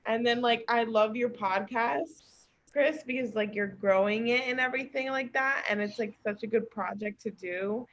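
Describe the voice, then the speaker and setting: A woman's voice: fairly high pitch, moderate pace, lo-fi sound, a little hiss or hum. A woman chatting casually and earnestly as an encouraging podcast guest. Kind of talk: casual chat. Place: remote group call.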